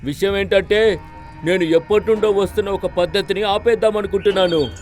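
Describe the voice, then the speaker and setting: A man's voice: high voice, steady tone, a little fast, a little mellow, lightly acted. A man voicing a boastful, bossy cartoon donkey who poses as a lion king, with a pompous, commanding manner. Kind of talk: children's cartoon story dubbing. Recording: music bed behind the voice.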